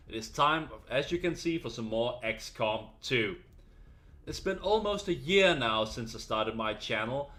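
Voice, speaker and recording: A man's voice: medium pitch, fairly bright tone. A man from Denmark, hosting his gaming channel and talking earnestly to camera, rambling a little with fillers. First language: Danish. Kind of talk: casual talk to camera.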